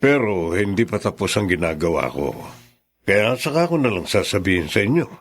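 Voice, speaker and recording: Low-voiced, solemn family lawyer. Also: male voice, sing-song, normal speed, heavily acted. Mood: reassuring. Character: solemn, protective family lawyer, loyal friend. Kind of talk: radio drama acting.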